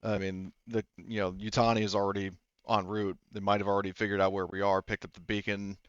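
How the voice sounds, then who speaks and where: Guy game mastering, rambly and explanatory, smooth lowish voice, kinda dull dry mic. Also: level tone, fairly quick, no echo. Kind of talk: casual tabletop game narration.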